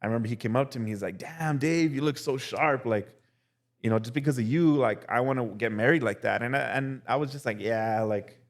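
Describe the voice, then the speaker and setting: A young male teacher telling casual stories as a podcast guest, relaxed and full of "like" and "dude". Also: mid-range voice, fairly quick. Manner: relaxed, heavy use of "like" and "dude". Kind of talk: casual storytelling chat.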